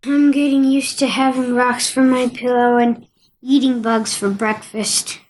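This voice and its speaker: A woman plays a weary, grumbling monk in an audio play, dry and sarcastic. The voice is fairly high, over faint noise. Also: flat delivery, unhurried, darkish tone, natural voice, rough recording. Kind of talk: amateur audio play acting.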